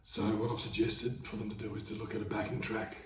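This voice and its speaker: A man with a hoarse, fading voice, casually explaining how he plays guitar over backing tracks in a laid-back, rambling way. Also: lowish voice, flat delivery, fairly quick, recorded from a distance, noisy background. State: hoarse, losing his voice. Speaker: market busker teaching guitar. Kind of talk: casual instructional talk. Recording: roomy sound, away from the mic, guitar playing behind.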